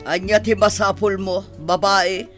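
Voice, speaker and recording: A woman acting a bossy, exacting madam and mother in an Ilocano radio comedy drama, commanding and brisk. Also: medium pitch, little pitch movement, fairly bright tone, faint music behind, lightly acted.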